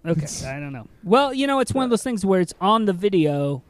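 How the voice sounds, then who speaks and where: A man hosting a casual podcast, reading news headlines haltingly and laughing often. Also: sits high, sing-song, no room sound.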